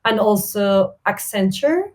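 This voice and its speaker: A woman's voice: medium pitch, lively intonation, takes it slowly, darkish tone, slight background noise. A woman from Argentina with an Argentine Spanish accent, chatting in a friendly, rambling way as a podcast guest. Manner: friendly, rambling, filler-heavy. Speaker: interview guest, service designer. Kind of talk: casual interview chat.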